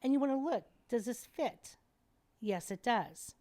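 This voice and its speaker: Animated woman explaining like a teacher. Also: a little high, measured pace. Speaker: airway specialist guest. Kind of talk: podcast interview, explaining and demonstrating.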